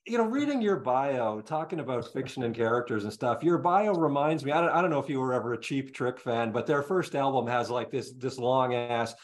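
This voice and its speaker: A man's voice: medium pitch, expressive, brisk, few pauses. A man working as a music interviewer, chatting casually with informal banter and hesitant phrasing.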